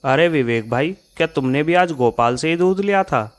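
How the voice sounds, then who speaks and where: A man's voice: fairly high pitch, lively intonation, moderate pace, lightly acted. A man playing a suspicious, grumbling male customer in a Hindi cartoon tale, insistent and accusing in manner. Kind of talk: Hindi moral-story cartoon dialogue. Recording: music behind the voice.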